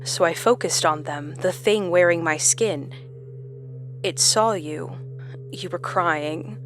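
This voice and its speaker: An actress playing a haunted, trapped woman who gives a measured, brooding monologue in a horror audio drama. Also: lowish voice, a bit bright, soft background music. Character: haunted woman trapped in endless relived lives.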